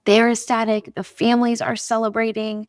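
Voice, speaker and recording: A young woman hosting a casual faith podcast, talking softly to spare a strained, slightly raspy voice in an earnest, encouraging, chatty way. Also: on the high side, flat delivery, moderate pace, no echo, clean studio sound. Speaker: podcast host.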